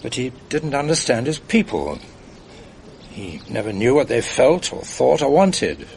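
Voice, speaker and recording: An actor playing a kindly, courteous middle-aged father with a quietly amused manner. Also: medium pitch, big pitch swings, measured pace, creaky, slight background noise. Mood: genial. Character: genial, gentle middle-aged English father.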